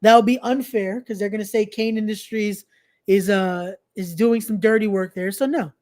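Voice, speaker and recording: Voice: medium pitch, fairly even intonation, moderate pace, darkish tone. Casual podcast host, slangy and repetitive. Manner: repeats phrases, informal slangy asides. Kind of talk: casual live-stream chat with event promotion.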